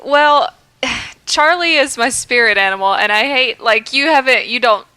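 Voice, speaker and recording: A woman's voice: on the high side, measured pace, sharp tone, clear, resonant tone, no room sound. A young woman co-hosting a podcast, chatting casually, enthusiastic and blunt, often laughing. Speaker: podcast co-host. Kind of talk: casual chat.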